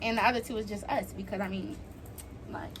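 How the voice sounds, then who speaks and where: A young American woman hosting a casual storytime vlog, talking in an animated, slangy, giggly way. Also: medium pitch, brisk, very noisy. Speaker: vlog host.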